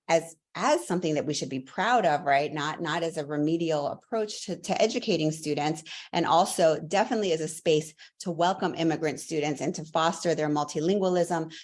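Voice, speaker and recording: A woman's voice: low-pitched, darkish tone. A woman professor answering interview questions, telling her story fluently with a few fillers. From Latvia. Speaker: guest, professor.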